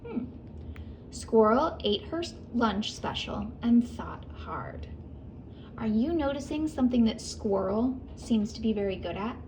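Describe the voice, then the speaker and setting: A woman's voice: a little high, lively intonation, measured pace, a little mellow, a little hiss or hum, poor audio. A woman reading a children's picture book aloud with expression, stopping to ask her young listeners questions. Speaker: read-aloud host.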